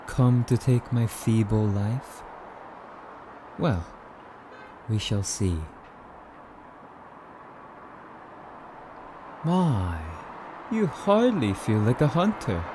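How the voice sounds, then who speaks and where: A man playing a suave, courtly immortal vampire in an ASMR roleplay, speaking in formal, theatrical, rambling musings with drawn-out phrases. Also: on the low side, noisy background. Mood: wary, amused.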